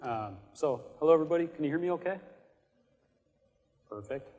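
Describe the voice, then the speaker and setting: A young man with a low, mumbly voice, talking casually and in a friendly way to students as a panel speaker. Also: animated, fairly quick, mellow, dull audio. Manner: casual and friendly, many ums. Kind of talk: presentation to students. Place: lecture hall. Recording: reverberant lecture hall.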